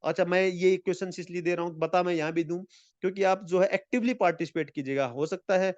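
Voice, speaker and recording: Higher-voiced male tutor, dry sound. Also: darkish tone, no echo, lo-fi sound. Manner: mixes Hindi and English, reads English lines slowly; friendly, calls viewers friends. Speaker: teacher. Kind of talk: exam-prep lecture.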